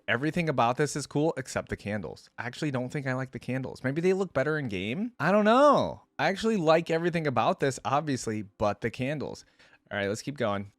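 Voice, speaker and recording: A man's voice: mid-range voice, no room sound. A man giving casual, informal reaction commentary, enthusiastic and thinking aloud as he goes. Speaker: reaction video host.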